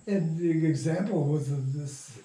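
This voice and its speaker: An older man telling anecdotes in an interview, halting and often interrupting himself in informal, salty language. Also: a little high, mellow. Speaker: interviewee, radio drama producer. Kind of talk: rambling anecdotal storytelling in an interview. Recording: echoey, distant mic, faint noise.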